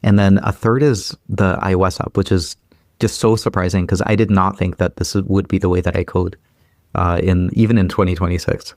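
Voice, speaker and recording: Interview guest: man in his 30s, fast and loose, low-pitched, dry close sound. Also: flat delivery, darkish tone, no echo. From Ukraine. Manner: quick, many fillers and repeated restarts. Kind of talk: casual interview chat.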